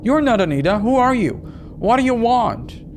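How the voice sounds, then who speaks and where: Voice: mid-range voice, sing-song, normal speed, a little hiss or hum, pitched above the performer's own voice, lightly acted. A performer voicing a frightened, protective young woman in a horror game, with urgent, pleading exclamations. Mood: alarmed, questioning.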